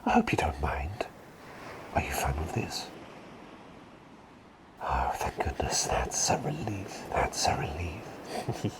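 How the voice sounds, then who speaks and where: A man acts out a gentle, absent-minded male plague doctor for an ASMR roleplay. He whispers slowly, drifting up and down in a very animated way. His manner is polite and rambling, softly repeating himself. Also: sits low, heavily acted, poor audio. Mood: gentle, whimsical. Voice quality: breathy whisper. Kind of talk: soft-spoken ASMR roleplay.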